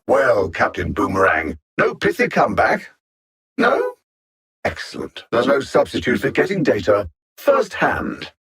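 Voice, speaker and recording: An actor voicing an arrogant alien supervillain mastermind in a video game, coldly mocking, condescending, with precise formal wording. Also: male voice, medium pitch, vocal fry, a bit bright, husky, exaggerated voice. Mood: gloating. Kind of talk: theatrical video game villain dialogue.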